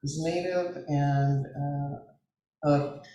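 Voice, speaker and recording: An older woman from Sri Lanka talking casually and hesitantly, with many pauses and "um"s. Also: low-pitched, steady tone, mellow, a little hiss or hum. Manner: hesitant, with frequent "um"s. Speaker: club member. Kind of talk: casual chat. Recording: very echoey, distant mic.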